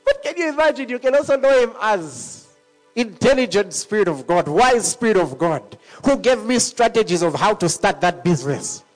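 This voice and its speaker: High-voiced man preaching. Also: moderate pace, soft background music. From Zambia. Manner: animated preaching, laughing often between points. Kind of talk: sermon.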